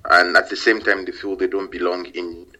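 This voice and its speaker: A man's voice: sits low, flat delivery, normal speed, bright, forceful tone. A man, a company founder, answers interview questions in a casual, hesitant way, full of fillers and repeated words. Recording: dull lo-fi audio.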